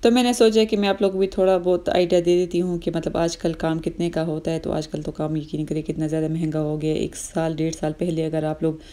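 Chatty woman with a low mellow voice. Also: little pitch movement, a little fast, hardly any pauses, slight background noise. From Pakistan. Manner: chatty, explanatory, many fillers. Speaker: vlogger. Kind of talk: casual shopping vlog narration.

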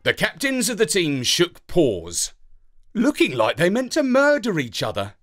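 A British man reads a children's story with hugely animated intonation. His voice sits a little high, and he takes his time, delivering it theatrically and deliberately. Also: a little hiss or hum. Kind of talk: expressive children's story narration.

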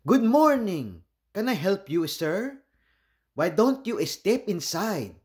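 A man's voice: sits high, big pitch swings, slow pace, darkish tone, voice pushed up, heavily acted. A man voicing a polite, proud seahorse shopkeeper from a storybook, with showy, courteous sales patter. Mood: welcoming, proud.

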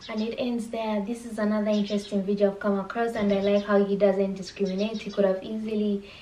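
Mellow young Zambian woman in echoey room. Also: mid-range voice. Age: 20s. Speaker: reaction video host. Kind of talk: reflective commentary to camera. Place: small bare indoor room. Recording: echoey room, distant mic, faint noise.

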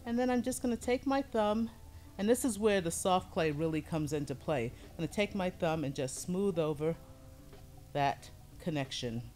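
Calm middle-aged woman, low voice, pottery teacher demoing at her workbench, faint music behind. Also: normal speed. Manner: calm, explains step by step while working with hands. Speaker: ceramics teacher. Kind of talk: hands-on demonstration lesson. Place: pottery studio, indoors.

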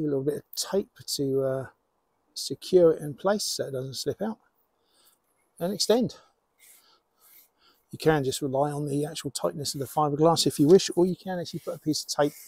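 A middle-aged man explaining and demonstrating as a hobbyist presenter, in measured short phrases with pauses. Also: a little high, darkish tone, husky, dry recording. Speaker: amateur radio hobbyist presenter.

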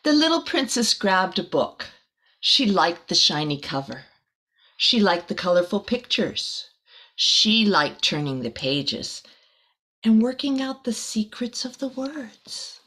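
A middle-aged woman reads a picture book for young children. Her pitch is medium and her pace is slow and deliberate. She tells it expressively and adds casual asides, recorded in a small room. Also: sing-song, sharp tone.

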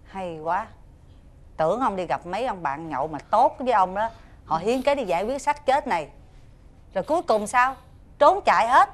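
An actress with a Southern Vietnamese accent playing a sarcastic, scolding wife in a folk-tale comedy drama. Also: female voice, mid-range voice, animated, moderate pace, close to an everyday voice.

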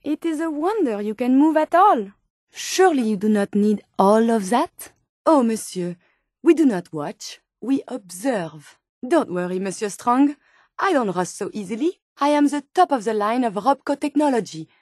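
Game role: earnest female robot scientist, French accent, high register, precise formal diction, very dry sound. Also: animated, moderate pace, darkish tone, dry recording. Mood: earnest, polite. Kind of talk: video game companion dialogue.

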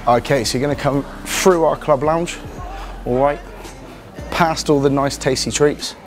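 A man's voice: fairly high pitch, a little slow. Upbeat male trainer in his thirties, lightly raised voice, casual tour patter over a music bed. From England. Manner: upbeat, chatty, many fillers. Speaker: personal trainer. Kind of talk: walking gym tour to camera. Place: large gym hall and corridors, indoors. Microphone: camera mic, handheld camera following. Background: fans and building work.

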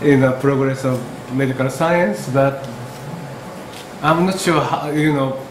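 Slow halting man, echoey room. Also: mid-range voice. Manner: halting, with many fillers and restarts. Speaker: medical ethics presenter. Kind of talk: academic discussion in English as a second language. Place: seminar room with a projector screen. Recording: echoey room, background noise.

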